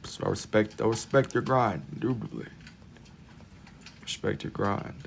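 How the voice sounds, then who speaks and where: A man in his twenties hosting a livestream, chatting casually with viewers in laid-back slang and getting loud and laughing when teased. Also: lowish voice, moderate pace, vocal fry, slight background noise. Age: 20s. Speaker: livestream host. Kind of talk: casual chat with viewers while drawing.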